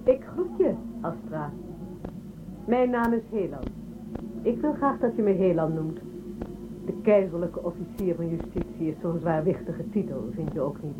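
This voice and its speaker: A woman's voice: fairly low pitch, expressive, moderate pace, lightly acted. Coaxing imperial prosecutor played by a woman, swooping intonation. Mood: silky, courteous. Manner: polite, coaxing, controlled. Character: smoothly courteous, probing female imperial prosecutor. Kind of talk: radio drama acting. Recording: tinny phone-like sound with clear noise.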